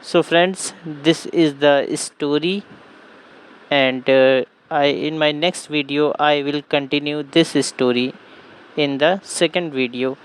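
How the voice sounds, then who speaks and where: Slow-talking Indian man teaching. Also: fairly high pitch, fairly even intonation, smooth. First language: Hindi. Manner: reads each line then translates it, simple broken English. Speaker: language teacher, channel host. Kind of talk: slow read-aloud language lesson.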